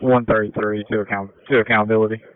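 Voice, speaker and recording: A man's voice: on the low side, little pitch movement, fast talker, smooth, no echo. A man, an emergency responder, checking in briefly over two-way radio. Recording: tinny, dry two-way radio.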